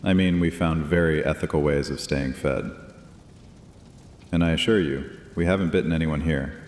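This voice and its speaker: A man playing a courtly, sardonic vampire high priest in a horror audio drama, drily witty and menacingly protective. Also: moderate pace, a little mellow. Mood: dry, amused. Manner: theatrical, dryly witty, drawling politeness. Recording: echoey hall, low rumbling noise.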